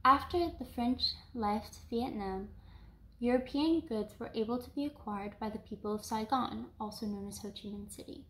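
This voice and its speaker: A young woman from South Louisiana hosting a home cooking show, explaining each step calmly and then narrating some food history. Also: a little high, normal speed. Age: young adult. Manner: calm, instructional. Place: home kitchen, indoors. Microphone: camera mic, across the kitchen. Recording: echoey kitchen room sound.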